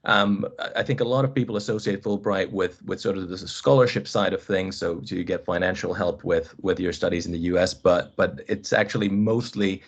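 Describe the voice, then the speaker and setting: A man's voice: deep voice, fairly quick, hardly any pauses. A man, giving a webinar presentation as a guest coach, hesitant and often restarting his phrases. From Finland. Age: 30s. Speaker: college squash coach, guest speaker. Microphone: video call. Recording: dull lo-fi video call audio.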